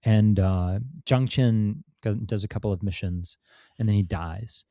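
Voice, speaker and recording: A man's voice: lowish voice, a little slow, dark tone, no room sound, clean studio sound. A man, a podcast guest and author, telling a history story in casual talk, with many fillers and restarts. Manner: rambling, many fillers and restarts, laughs now and then.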